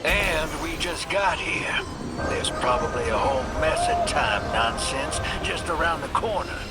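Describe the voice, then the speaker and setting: An actor playing a wry, casual companion in a sci-fi video game, speaking colloquially. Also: male voice, a little high, animated, unhurried. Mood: wry concern. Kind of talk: acted game dialogue.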